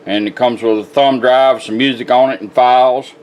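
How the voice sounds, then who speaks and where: A man's voice: mid-range voice, fairly even intonation, moderate pace, dull audio. A plainspoken, folksy man giving a casual product demonstration as a radio restorer. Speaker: radio restorer presenting his work.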